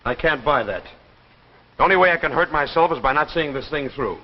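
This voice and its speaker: A man's voice: fairly high pitch, lively intonation, a little fast, close to an everyday voice. An actor playing a stubborn, driven man with an American accent, speaking intensely in a 1950s television drama. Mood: determined. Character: stubborn, driven man hunting for proof of saucers. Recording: muffled 1950s television soundtrack, faint noise.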